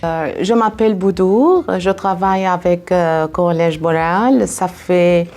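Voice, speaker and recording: A woman from Syria answers interview questions slowly, hesitating and searching for her words. Her voice sits slightly low. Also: darkish tone. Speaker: college staff member.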